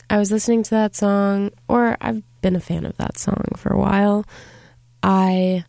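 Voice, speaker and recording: Congested woman radio host, gravelly. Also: medium pitch, mellow, no room sound, clean studio sound. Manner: halting, many fillers. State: congested from a cold. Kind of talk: casual college radio talk. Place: radio studio. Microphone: studio microphone.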